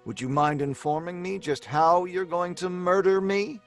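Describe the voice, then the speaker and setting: An actor playing a flippant, excitable story writer in an old-time radio drama, breezy and bantering, mockingly unafraid. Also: male voice, sits high, normal speed, soft background music. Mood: mocking defiance.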